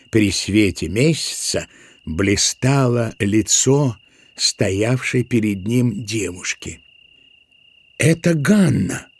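A man narrating a literary audio drama in a measured, dramatic manner. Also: on the low side, gravelly, slightly rough, no room sound.

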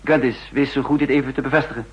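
An actor playing a cool, calculating spy chief who narrates in the first person, in a 1960s radio drama. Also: male voice, mid-range voice, steady tone, slightly rough, dull audio, lightly acted. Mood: sardonic. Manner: measured, sardonic. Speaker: narrator and lead.

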